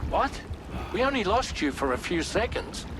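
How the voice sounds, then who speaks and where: A man's voice: sing-song, normal speed. A man playing a concerned, reassuring crewmate calling in by radio in a video game. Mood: puzzled. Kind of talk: dramatic video game acting. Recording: clear background rumble and noise.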